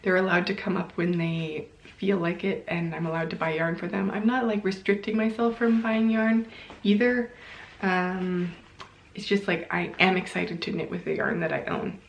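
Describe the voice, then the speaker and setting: Creaky-voiced woman chatting in a roomy space. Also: on the low side, fairly even intonation, normal speed, a little hiss or hum. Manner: rambling, thinking aloud, self-correcting. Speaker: knitting vlogger and host. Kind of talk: casual chat to camera. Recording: roomy, echoing indoor sound.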